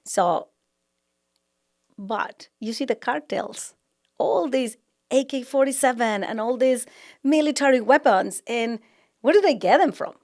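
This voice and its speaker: A woman from Mexico speaks with a thick Mexican accent, her first language plainly Spanish. She talks in a relaxed, chatty way as a show guest and laughs easily. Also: on the high side, measured pace, long pauses. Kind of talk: casual interview chat.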